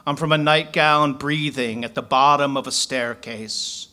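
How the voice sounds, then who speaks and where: Bright-voiced man reading poetry on stage. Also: mid-range voice, measured pace. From Philadelphia. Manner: rhythmic recitation, reading from paper at a stand mic. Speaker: poet. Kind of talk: poetry reading then storytelling. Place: small club stage. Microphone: stand mic.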